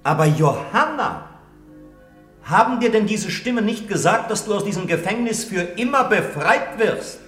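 An actor playing a priest who gently urges a condemned prisoner in a classic radio play. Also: male voice, high voice, expressive, normal speed, roomy sound, faint music behind. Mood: pleading. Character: male priest sent to prepare a prisoner for death, pleading.

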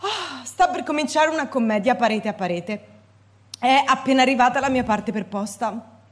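Casting: woman playing a drifting, self-absorbed wife, slightly high voice, breathy and whiny, radio drama. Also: normal speed, lightly acted. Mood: wheedling.

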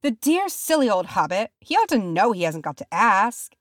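A woman voicing a young male hobbit in a fantasy story, plucky and affectionate, with a light, lively manner. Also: mid-range voice, moderate pace, no echo, studio quality, voice pushed up, lightly acted. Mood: fond.

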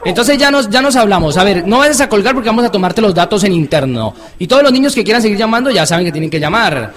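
High-voiced man hosting a kids' call-in show. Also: expressive, fast. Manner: warm, doting, exclamatory talk to children. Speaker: host of a children's program.

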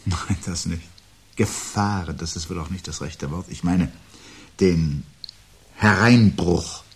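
Weary sardonic husband, very deep pitch, roughened husky tone, deliberate rhetorical delivery, 1970s radio play. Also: male voice, full character voice. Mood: dry sarcasm. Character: weary, bitter, sardonic husband awaiting catastrophe. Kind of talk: 1970s German radio drama acting.

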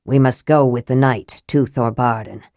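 A woman voicing a cold, sardonic young male mage who speaks dryly, flatly and curtly in a lowered voice. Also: moderate pace, dry recording. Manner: dry, flat and clipped.

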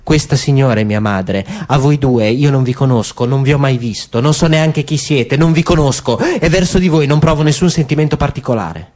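A man's voice: mid-range voice, moderate pace, few pauses, darkish tone, lightly acted. A man reading a stiff, defensive young soldier. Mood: declaiming. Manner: stiff, declaiming. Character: tense young male soldier, defensive and rehearsed. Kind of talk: radio drama reading.

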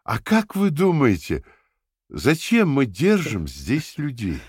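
An actor playing a coldly patient, menacing Party interrogator in a radio play, with a theatrical, deliberate, lecturing delivery that swings from hushed to shouting. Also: male voice, medium pitch, huge pitch swings, slightly rough, no echo, studio quality, full character voice. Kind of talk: dramatic radio play acting.

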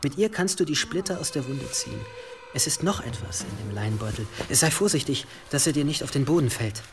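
An actor playing a calm, modest medieval monk and inventor in a naturalistic radio drama, speaking in a measured, encouraging way. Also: male voice, medium pitch, background noise, natural voice. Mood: modest, explaining.

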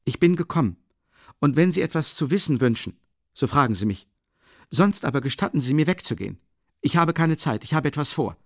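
Man playing a defiant, irritated young man, high and loud, audiobook reading. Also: lively intonation, fairly quick, no echo, clean studio sound. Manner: clipped, sharp, nearly shouting. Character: irritable young male student, tense and defiant.

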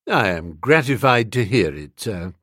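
A man playing an unruffled, deferential English manservant in a storybook, quiet, measured and formal, with a British accent. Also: fairly low pitch, very animated, no room sound, studio quality, voice pushed down, exaggerated voice. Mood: calm, deferential.

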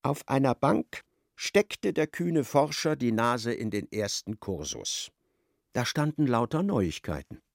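Animated male narrator with a creaky edge. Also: mid-range voice, takes it slowly, dry recording, studio quality. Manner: storytelling, expressive. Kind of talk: scripted literary narration for radio play.

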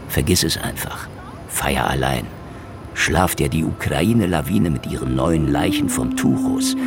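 An actor playing a weary, sardonic middle-aged Soviet secret police investigator in a noir radio play, brooding and dry, often dropping to a near-whisper. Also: male voice, rumbling low, vocal fry, exaggerated voice. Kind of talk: hardboiled noir radio drama acting with inner monologue. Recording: studio radio play with music underneath.

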